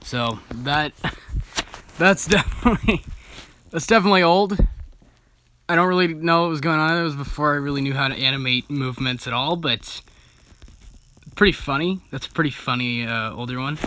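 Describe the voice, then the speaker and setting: Bright-voiced young man, casual and amused. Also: fairly high pitch, normal speed, long pauses. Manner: informal, amused, self-deprecating. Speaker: video creator and host. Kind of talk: casual reaction commentary.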